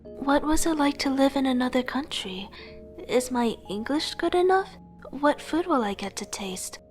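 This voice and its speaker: A high-voiced young woman artist, played by a voice actress tells her story in an earnest, wistful way. She has a rebellious streak, and music plays behind her in this comic drama. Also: lively intonation, normal speed. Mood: reflective, wistful. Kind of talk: scripted first-person narration with acted dialogue.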